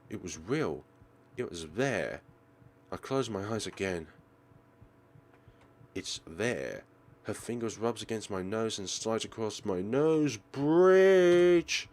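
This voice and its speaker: A man narrating a visual novel aloud in an expressive, theatrical way, as a let's play host. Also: fairly low pitch, slight background noise. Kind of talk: dramatic read-aloud narration.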